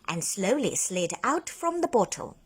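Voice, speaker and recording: A woman reads a bedtime story like a drama teacher, with deliberate, dramatic emphasis. Her pace is slow and her intonation very animated, in a soft-edged, breathy voice. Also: medium pitch. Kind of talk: expressive children's bedtime story narration.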